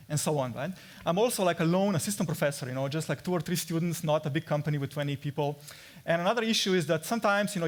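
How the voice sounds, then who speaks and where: A man with a Slovenian accent presenting his research in an explanatory way, as an academic at a conference. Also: a little high. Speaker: assistant professor presenting research.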